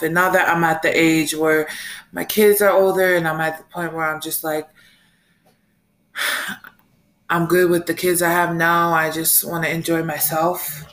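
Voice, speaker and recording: An American woman in her late twenties, a mother and podcast host, talking through her week in a rambling, animated solo chat and laughing often. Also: low-pitched, a little slow. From United States. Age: late 20s. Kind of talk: casual solo monologue.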